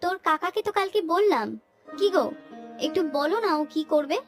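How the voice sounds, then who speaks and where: A woman's voice: extremely high pitch, fairly quick. A woman playing a kind, protective village aunt in a moral-story cartoon, fussing and scolding affectionately. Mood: caring. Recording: music playing behind the voice.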